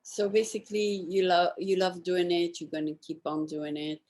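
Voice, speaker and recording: A woman's voice: on the low side, little pitch movement, moderate pace, a little mellow. A woman speaking as the host of a casual, unscripted interview.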